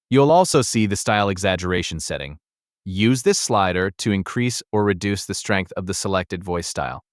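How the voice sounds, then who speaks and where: A man reading a scripted software tutorial voice-over in a measured, instructional way. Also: on the low side, no echo, studio quality. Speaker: tutorial narrator.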